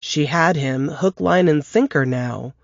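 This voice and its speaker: A woman hosting a podcast who reads a novel excerpt aloud in a measured, expressive storytelling manner. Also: sits low, gravelly, no echo. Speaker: podcast host reading an excerpt. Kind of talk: scripted narration of a novel excerpt. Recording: dry, dull lo-fi podcast audio.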